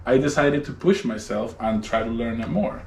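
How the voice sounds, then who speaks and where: A man whose first language is Spanish, talking casually about his music as an interview guest at home, relaxed and laughing often. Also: medium pitch, normal speed. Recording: echoey room with faint noise.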